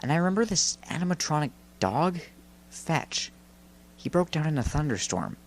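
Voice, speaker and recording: A man's voice: a little high, big pitch swings, normal speed, a little hiss or hum, pitched above the performer's own voice. A man voicing an angry young male spirit in a story, defensive, snappish and brooding. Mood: musing, sullen.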